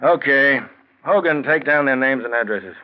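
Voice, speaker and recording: A man's voice: medium pitch, expressive, moderate pace, natural voice. Man playing a sly, sardonic police sergeant. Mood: bored. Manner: dry, mock-polite, probing. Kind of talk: 1940s radio crime drama acting. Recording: muffled 1940s radio recording.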